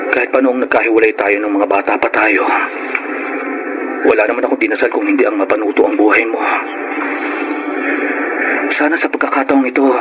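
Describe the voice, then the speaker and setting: A man's voice: fairly low pitch, heavy background noise, close to an everyday voice. A man with a Filipino accent playing an earnest, protective young husband in an emotional radio drama. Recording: music behind the voice.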